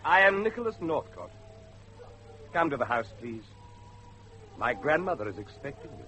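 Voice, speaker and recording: A man's voice: mid-range voice, big pitch swings, normal speed, bright, forceful tone, slight background noise. A man acting a polite, formal young grandson of a manor family in an old-fashioned radio drama. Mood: polite, solemn.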